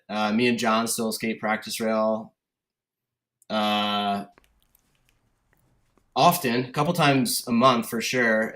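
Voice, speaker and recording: A man's voice: fairly low pitch, a bit bright. A man in his thirties from Connecticut, a podcast guest chatting casually in a laid-back, rambling way with lots of fillers. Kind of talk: casual conversation. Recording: roomy echo.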